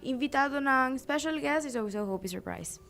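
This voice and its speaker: Eager teenage girl, fairly high voice. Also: animated, normal speed, gravelly, fairly bright tone. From Aruba. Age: teens. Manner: switches between languages, eager. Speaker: youth radio show host, guest. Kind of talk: radio interview talk.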